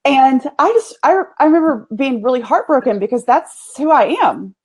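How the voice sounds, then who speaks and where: A woman's voice: sits high, big pitch swings, moderate pace, a little mellow, breathy edge. Candid East Texas businesswoman. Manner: folksy, candid, laughs easily. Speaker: agency owner, guest. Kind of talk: casual interview conversation.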